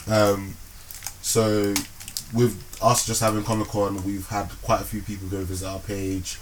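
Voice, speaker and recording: Relaxed male podcast host, lowish voice, faint noise behind. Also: unhurried, poor audio. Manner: relaxed, laughs often, filler-heavy. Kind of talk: casual podcast chat.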